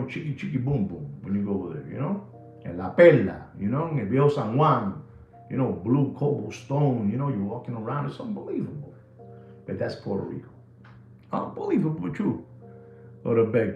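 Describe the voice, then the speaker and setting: A New man in his sixties rambles through a live watch podcast, cracking jokes and slinging slang. His voice sits at a middle pitch with a gravelly, creaky edge. The room is echoey, with faint music playing in the background. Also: a little fast, dull audio. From New York City. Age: 60s. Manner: animated, jokey storytelling with slang.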